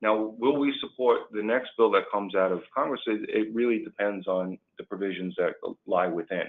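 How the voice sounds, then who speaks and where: Low-voiced man on a phone-like line. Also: normal speed. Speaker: labor union leader as webinar guest. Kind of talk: prepared remarks and answers on a policy webinar.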